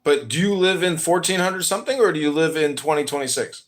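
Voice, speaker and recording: A man's voice: a little high, lively intonation, quick pace. An American man hosting a podcast, asking provocative, mock-naive questions with sarcasm and swearing. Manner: feigns ignorance, sarcastic, swears. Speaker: podcast host.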